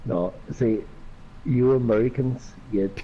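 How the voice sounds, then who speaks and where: A man's voice: mid-range voice, takes it slowly. A man with an Irish accent bantering casually and teasingly while gaming. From Ireland. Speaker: co-host gamer. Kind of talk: casual joking chat during gameplay. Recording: muffled voice chat with faint noise.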